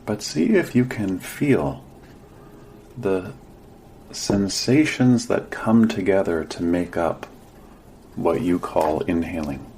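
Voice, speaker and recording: A man leading a guided meditation as a teacher, giving gentle, sparse instructions with long pauses. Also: low voice, slight background noise.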